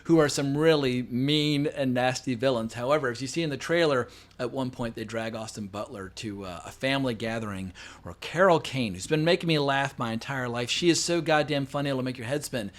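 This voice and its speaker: A middle-aged man talks through a film review with loose, chatty enthusiasm, at an average pitch. He swears freely to punch up his points and wanders into long tangents full of fillers. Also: a little fast. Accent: non-local, not New York. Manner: enthusiastic and profane, swearing for emphasis.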